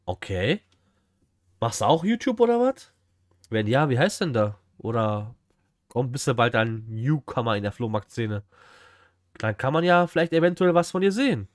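A man's voice: mid-range voice, expressive, moderate pace, pauses often, dry recording. Man, 30s, livestream reactor; casual, slangy, direct to chat, dry room sound. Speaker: streamer reacting to a flea market video. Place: small indoor room, streaming setup.

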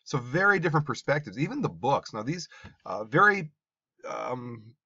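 A man's voice: medium pitch, sing-song, normal speed, creaky, bright, forceful tone, husky, no room sound. A man teaching a social studies class, talking through slides in a conversational, emphatic way with asides and restarts. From American Midwest. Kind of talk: classroom lecture over slides. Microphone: voice-over recorded over slides. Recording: dull dry voice-over.

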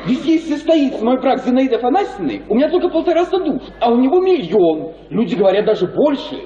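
An actor playing a foolish, vain young gentleman suitor in a radio play, fussy and excitable. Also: extremely high pitch, quick pace, a crowd in the background. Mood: flustered. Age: young adult. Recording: echoey, distant, muffled radio drama recording.

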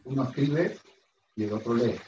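A man's voice: mid-range voice, normal speed, mellow. A middle-aged man teaching an online class at a whiteboard, chatty and self-deprecating, often asking his students questions through a headset mic. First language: Spanish. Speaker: university lecturer. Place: room at a whiteboard, indoors. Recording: roomy, slightly dull video-call audio.

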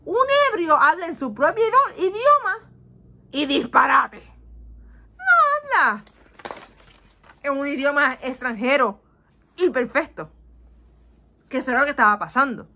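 A woman's voice: high-pitched, measured pace, pauses often, a little hiss or hum. A woman from Puerto Rico in her thirties with a Puerto Rican Spanish accent, teaching a Bible lesson to children over a webcam, acting out voices and slipping English in. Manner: animated, acts out voices of people, asks "¿ok?" often. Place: small indoor room. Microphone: webcam on a video call.

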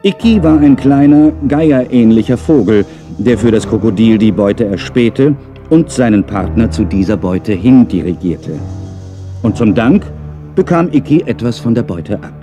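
A man's voice: lowish voice, animated, normal speed, dark tone, soft background music, close to an everyday voice. A middle-aged man narrating a children's story in a playful way, with wry, chatty asides.

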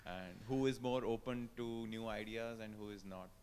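A man's voice: on the low side, normal speed, darkish tone, a little hiss or hum. A man in the audience asking a rambling question at a tech conference. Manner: rambling, searching for words. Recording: distant mic in a reverberant hall.

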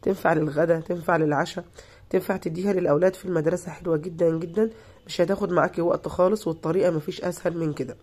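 A woman with an Egyptian Arabic accent, hoarse from being ill, giving a chatty, reassuring voice-over for a cooking tutorial. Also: lowish voice, fairly even intonation, racing, a little mellow. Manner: chatty, reassuring, repeats herself. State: hoarse from illness. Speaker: cooking channel host.

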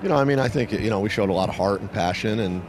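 A middle-aged head coach answers a reporter's handheld mic outdoors on the field at night. He talks quickly in a lowish voice, filling every gap with "you know" and "I mean.". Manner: rapid, filler-heavy with "you know, I mean". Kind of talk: postgame interview.

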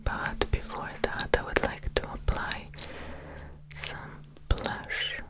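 A young woman whispering softly and breathily in an ASMR makeup roleplay, close to the microphone, in gentle, unhurried instructions. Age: young adult. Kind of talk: ASMR personal attention roleplay. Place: small indoor room, close up. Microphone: close sensitive microphone.

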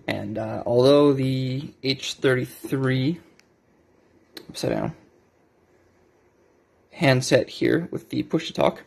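Husky, creaky-voiced man, casual and hesitant. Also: mid-range voice, takes it slowly, pauses often. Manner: hesitant, self-correcting. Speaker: hobbyist video maker. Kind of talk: casual unscripted show-and-tell. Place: indoors at a desk. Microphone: handheld cell phone.

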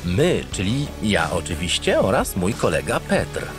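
Cocky, animated man narrating a comedy. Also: lowish voice, unhurried, over music. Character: cheerful, cocky, dim-witted male spaceship captain. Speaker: actor narrating an audio drama. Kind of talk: comedic first-person scripted narration.

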